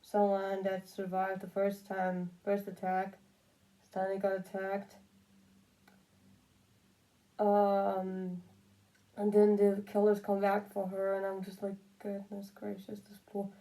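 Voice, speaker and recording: A woman's voice: medium pitch, warm tone, rough recording. A woman giving casual, unscripted opinion commentary, rambling and repeating phrases for emphasis. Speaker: commentary video creator.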